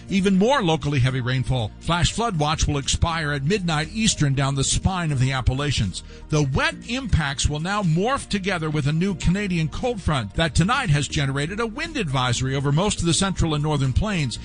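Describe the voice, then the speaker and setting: A man delivering a broadcast weather report in a brisk, authoritative manner. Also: a little high. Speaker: weather forecaster.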